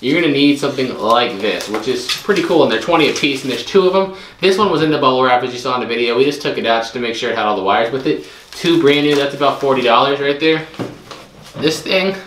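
A man's voice: medium pitch, animated, quick pace, fairly bright tone. A man in casual chat, going over items and estimating what they will resell for. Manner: chatty, estimating resale prices with a few restarts. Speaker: co-host reselling storage unit finds. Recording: echoey room with faint noise.

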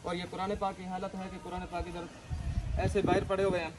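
A man's voice: high-pitched, little pitch movement, a little fast. A local man being interviewed, making a plain, repetitive, unscripted request for help. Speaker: local worshipper interviewed for the appeal. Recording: noisy field recording with vehicle sounds.

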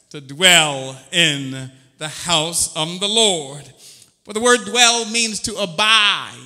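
A man's voice: sits high, sharp tone, big-room sound. A man preaching a sermon as pastor, fervent and drawn out, calling out "oh Lord" between phrases. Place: church sanctuary.

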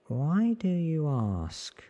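A man voicing a candid, careful, sickly young man in a hushed, earnest ASMR storybook reading. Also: fairly high pitch, wildly swooping pitch, drawn-out words, pitched above the performer's own voice, heavily acted. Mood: hesitant, curious. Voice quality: breathy.

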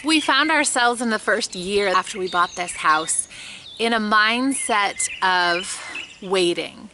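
A woman's voice: mid-range voice, expressive, takes it slowly, background noise. A woman in her thirties talking casually to camera in her backyard, earnest and chatty, with small laughs. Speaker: homestead vlogger. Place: outdoors in a grassy backyard beside a chicken coop. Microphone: camera mic at close framing.

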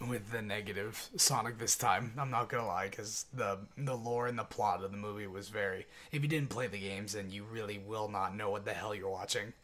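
A man's voice: on the low side, fairly even intonation, a little fast, hardly any pauses, a bit bright. A young man giving casual reaction commentary into a close desk mic at home. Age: young adult. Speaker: reaction video host. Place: small cluttered home room. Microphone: large desk mic up close. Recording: music playing behind the voice.

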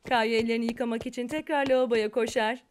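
A woman's voice: fairly high pitch, fairly even intonation, fast talker, slight background noise, lightly acted. A woman reading scripted narration for a children's cartoon story.

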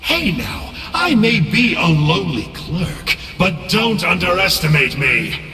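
A man's voice: sits high, very animated, gravelly, distant mic, background noise, heavily acted. A man playing a slippery, smugly philosophical monster clerk in a video game, theatrical and musing, with an echoing effect on his voice. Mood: defensive, boastful. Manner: theatrical, smug, drawn-out musing. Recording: echoey cavernous reverb, music behind.

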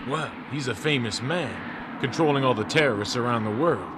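A man's voice: mid-range voice, brisk, noisy background, lightly acted. A man voicing a cocky hero agent in a game cutscene, delivering smug wisecracks.

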